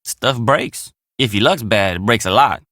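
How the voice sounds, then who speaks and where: Folksy young handyman, simple country boy, American country drawl, friendly, lively intonation, dry close sound, video game dialogue. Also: fairly low pitch, a little slow, no room sound, full character voice. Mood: wry, sincere. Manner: folksy, plainspoken country phrasing.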